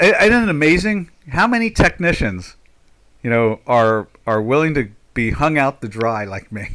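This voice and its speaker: A man's voice: fairly high pitch, sharp tone. A man in his sixties from South Jersey, with its accent, hosting a live trading chat and thinking aloud in a rambling, chatty way with lots of fillers. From Pinelands of South Jersey. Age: 60s. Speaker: market trader and stream host.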